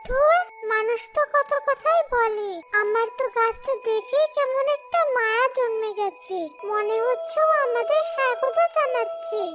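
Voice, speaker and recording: Woman doing a gushy trusting young wife in a cartoon, really really high pitched, lots of music. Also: measured pace. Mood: enchanted. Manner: gushing, optimistic. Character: cheerful, trusting young housewife. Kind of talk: cartoon dialogue acting.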